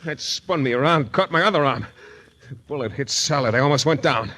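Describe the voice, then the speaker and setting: An actor playing a tough, wry private detective, narrating and talking in clipped, intense 1940s radio style. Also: male voice, medium pitch, a little fast, pushed and bright. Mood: tense, wry. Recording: 1940s radio broadcast recording.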